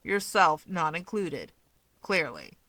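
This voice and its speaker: Woman voicing a smug, deadpan young man, lower-pitched. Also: slow pace, no room sound, heavily acted. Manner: deadpan, drawling, dismissive. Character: smug, sardonic, contrarian young man, needling rival. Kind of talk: fan fiction audiobook character reading.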